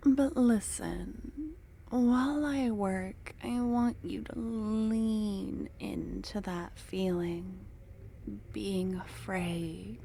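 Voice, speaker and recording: A woman voicing an obsessive, possessive young cultist in an ASMR fantasy roleplay, speaking in a soft, breathy half-whisper that coaxes and soothes. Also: mid-range voice, steady tone, very slow, slight background noise. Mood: soothing, possessive.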